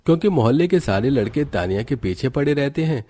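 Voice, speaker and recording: Mellow male cartoon story narrator. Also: mid-range voice, lively intonation, brisk.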